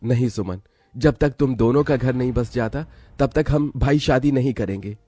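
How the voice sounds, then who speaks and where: A man's voice: medium pitch, moderate pace, dark tone, dry recording, close to an everyday voice. A man playing a protective, self-sacrificing poor young brother in a cartoon story, earnest and emotional. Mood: tender, resolute.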